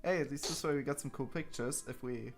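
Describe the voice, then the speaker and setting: A woman's voice: extremely low pitch, quick pace. A woman game streamer chatting casually as she reacts to a game.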